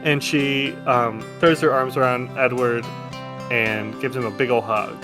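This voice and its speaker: A man's voice: mid-range voice, fairly even intonation, measured pace, music in the background. A man narrating a tabletop game as game master in a casual, improvised, conversational way.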